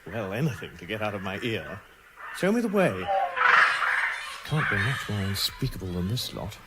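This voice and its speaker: A man's voice: lowish voice, very animated, normal speed, heavy background noise. An actor, a man, playing an exasperated, sarcastic space traveller in a radio comedy. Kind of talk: radio comedy drama acting.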